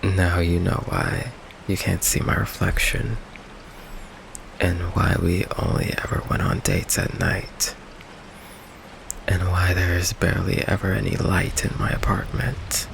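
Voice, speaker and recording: Male actor for gentle goth vampire boyfriend, ASMR roleplay. Heavy vocal fry, unhurried, hesitant soft delivery, rain ambience. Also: low-pitched, slight background noise, heavily acted, rough recording. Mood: earnest, defensive. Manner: hesitant, halting, soft-spoken, apologetic. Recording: steady rain ambience behind the voice.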